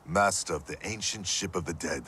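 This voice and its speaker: A man's voice: sits low, brisk, pushed and bright, slight background noise. A man playing a stern, dutiful warrior in a video game cutscene, terse and formal. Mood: grave, dutiful. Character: stern, dutiful male warrior, once undead.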